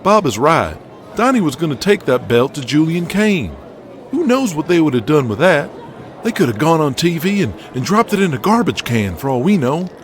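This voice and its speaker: Man voicing an earnest, higher-pitched wrestling promoter. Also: slight background noise. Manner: earnest, insistent explaining. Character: calmer wrestling promoter, earnest explainer pleading his case.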